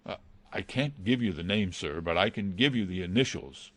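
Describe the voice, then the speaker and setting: A man's voice: sits low, expressive, normal speed, fairly bright tone, no room sound. A man playing an anxious, loyal butler, hesitant, formal and respectful, in a dramatised reading of a classic novel. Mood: hesitant, confiding. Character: deferential, anxious male butler, pleading and loyal.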